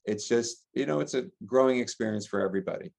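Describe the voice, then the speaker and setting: An American man, a middle-aged priest, giving earnest, gentle interview answers and listing ideas in long chains. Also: mid-range voice, quick pace, gravelly. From United States. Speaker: priest and rector, interview guest.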